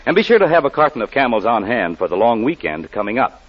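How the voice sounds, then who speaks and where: A man's voice: mid-range voice, big pitch swings, fast talker, over loud music. A man announcing and selling in emphatic 1940s radio advertising style. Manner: emphatic salesman's delivery. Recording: dull, lo-fi 1940s radio recording.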